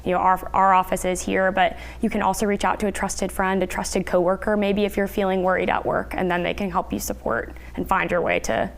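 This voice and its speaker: A woman's voice: medium pitch, level tone, brisk, gravelly, hardly any pauses. A woman speaking as a therapist guest, giving explanatory answers in a news interview. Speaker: therapist guest, licensed counselor.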